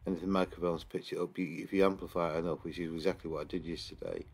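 A very deep-voiced man with a gravelly fry in his voice. He talks casually into a desktop mic as he reviews camera clips, drifting and correcting himself as he goes. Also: fairly even intonation, rapid-fire, a little mellow. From England. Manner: rambling, hesitant, many fillers and restarts. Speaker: paranormal investigator reviewing CCTV footage. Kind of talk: casual screen-recorded review commentary.

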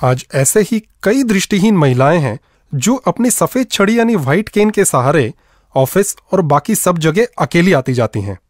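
A man presenting a radio programme with scripted, expressive and measured narration. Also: a little high, no room sound, studio quality.

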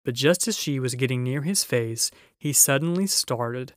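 A man's voice: mid-range voice, expressive, moderate pace, no echo. Man reading a storybook, dry sound. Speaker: audiobook reader. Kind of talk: expressive children's storybook narration.

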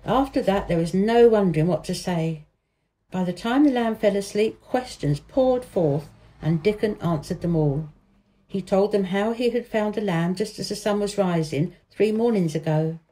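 A woman storyteller giving an expressive narration of a children's book. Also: medium pitch, moderate pace, low-quality recording.

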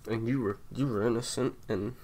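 A man playing a grieving, guilt-ridden secret agent in an audio roleplay, choked up and halting, sometimes dropping toward a whisper. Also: mid-range voice, steady tone, darkish tone, a little hiss or hum, lightly acted. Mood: anguished, self-blaming. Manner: halting, faltering, breaking into whispers. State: upset, choked up with grief. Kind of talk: intimate audio roleplay acting.

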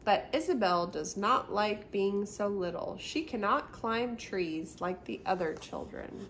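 A middle-aged woman hosting a storytime, reading a children's picture book aloud with expressive, simple storyteller phrasing for young children. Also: mid-range voice, measured pace. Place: small indoor room by a brick wall, seated. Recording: clearly noisy home recording.

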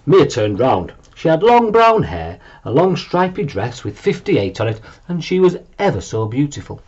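A man around his forties narrating a children's story in an animated, deliberate way. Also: a little high, slightly rough, lo-fi sound. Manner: expressive, deliberate. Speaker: storybook reader. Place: small room at home, indoors.

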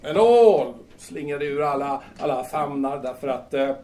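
A man's voice: on the high side, animated, warm tone, close to an everyday voice. A man in an audio drama playing a cantankerous, drunken bohemian who scorns women, blustering and stammering as he repeats himself. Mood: scornful. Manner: blustering, repetitive, stammering on words. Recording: distant microphone.

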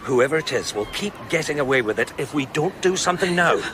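An actor playing a disgraced former policeman in a radio play, earnest, halting and persuasive. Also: fairly high pitch, expressive, lightly acted. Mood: confessional, earnest. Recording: outdoor scene with clear background noise.

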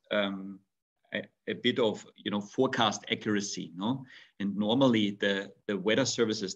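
Austrian man on a muffled video call. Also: fairly low pitch. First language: German. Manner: thoughtful, many restarts and fillers. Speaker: guest speaker. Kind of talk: webinar talk. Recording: dull lo-fi video call audio.

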